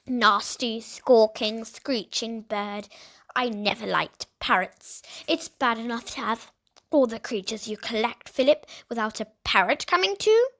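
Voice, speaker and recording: Storybook aunt, played by a woman: high voice, sharp, weary, disagreeable and put upon. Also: expressive, measured pace. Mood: scornful. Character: thin, tired, sharp-tongued aunt, easily put upon.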